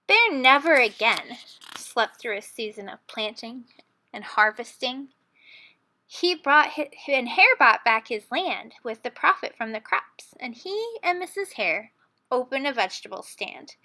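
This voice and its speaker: High, animated woman reading a children's story. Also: unhurried. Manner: expressive, dramatic. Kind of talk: children's picture book read-aloud.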